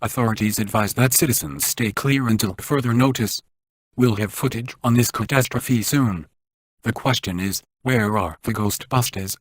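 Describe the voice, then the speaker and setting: An actor playing a serious, urgent male news anchor in a video game, with a husky voice and formal newsreader delivery. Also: medium pitch, normal speed, no echo.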